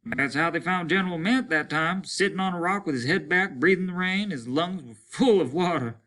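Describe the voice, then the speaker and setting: A man's voice: sits high, moderate pace, fairly bright tone, pitched above the performer's own voice. A man reading a story aloud, voicing a hardened, worn-out, fatalistic soldier who talks bluntly and bitterly. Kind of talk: dramatic storybook character reading.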